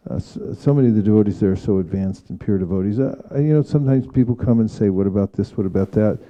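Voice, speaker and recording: A man speaking as a spiritual teacher in an informal devotional discussion, reflective and halting in his phrasing. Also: on the low side, mellow, lo-fi sound. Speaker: spiritual teacher leading a scripture class.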